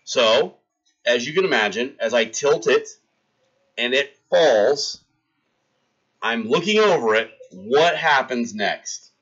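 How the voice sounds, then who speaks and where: A man in his thirties tells a story to his live stream chat with lots of energy, acting out each scene as he goes. His pitch stays in a middle register. The audio is a little dull, like a basic stream mic. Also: takes it slowly, pauses often, slightly rough. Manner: animated, acts out scenes, talks to chat.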